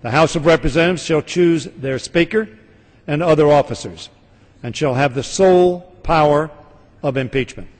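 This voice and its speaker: A man's voice: a little high, normal speed. An American congressman reading a legal text aloud formally in a large chamber. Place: large indoor legislative chamber. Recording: reverberant legislative chamber.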